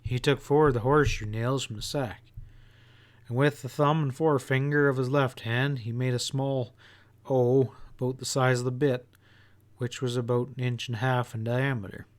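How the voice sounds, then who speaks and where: A man reading an audiobook as an expressive first-person narrator with a folksy storytelling manner. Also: mid-range voice, moderate pace. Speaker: audiobook reader.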